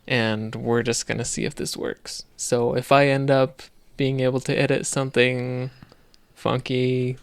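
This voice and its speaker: Young man, chatty and joking, gravelly mid voice, dry close sound, podcast host. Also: mid-range voice, little pitch movement, measured pace, no echo. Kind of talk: casual chat. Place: small room with green screen.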